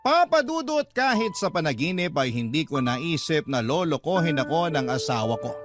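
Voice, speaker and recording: A man with a slightly high voice reads a love story letter in a radio storytelling style. Loud music plays behind him. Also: big pitch swings, moderate pace. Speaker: radio host reading listeners' letters.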